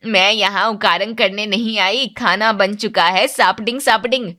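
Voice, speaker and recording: A woman's voice: mid-range voice, steady tone, unhurried, pushed and bright. A woman voicing a bossy but devoted middle-aged mother of the bride in a cartoon moral story, emphatic and no-nonsense. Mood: insistent.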